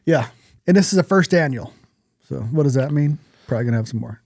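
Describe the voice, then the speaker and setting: A man's voice: mid-range voice, lively intonation, fast, vocal fry, darkish tone, no echo, clean studio sound. A man co-hosting a podcast, talking casually in a blunt, profane way. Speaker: podcast co-host. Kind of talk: casual podcast chat about hunting and events.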